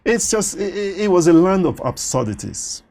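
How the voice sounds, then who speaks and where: A man with a Nigerian accent whose first language is Yoruba, talking as a doctor in a studio interview, animated and quick to laugh. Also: high-pitched, a loud crowd. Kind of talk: conversational interview.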